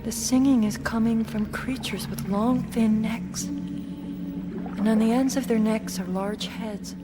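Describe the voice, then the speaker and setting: Slow-speaking, pensive, watchful traveler. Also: female voice, fairly high pitch. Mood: sorrowful wonder. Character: watchful, pensive woman recounting an eerie journey. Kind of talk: first-person audio drama narration. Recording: loud music under the voice.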